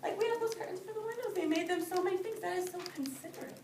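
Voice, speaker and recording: High-voiced woman teacher reading to children. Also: very fast, darkish tone. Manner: simple explanatory phrasing, addressing small children. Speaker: teacher reading a picture book aloud to young children. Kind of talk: read-aloud storytelling with explanations for young children. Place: indoor classroom. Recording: roomy, distant microphone with background noise.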